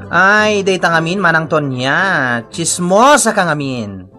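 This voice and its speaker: A mocking village neighbour with an Ilocano accent. Her voice is fairly low for a woman yet sharp, and she chides bluntly in lively radio-drama style. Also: slow pace, soft background music, heavily acted. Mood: chiding.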